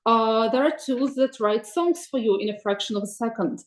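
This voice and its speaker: A woman's voice: a little high, normal speed. Woman, webinar presenter, hesitant and friendly delivery, roomy sound. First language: Russian. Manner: thoughtful, many ums and uhs, asks for next slide. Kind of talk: presenting slides in an online webinar.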